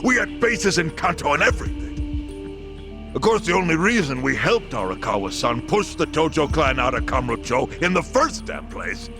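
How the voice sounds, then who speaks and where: An actor playing an aggrieved, foul-mouthed yakuza subordinate in a dramatic video game scene. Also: male voice, a little high, big pitch swings, normal speed, vocal fry. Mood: bitter, indignant. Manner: profane, indignant. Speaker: yakuza gangster. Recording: music behind the voice.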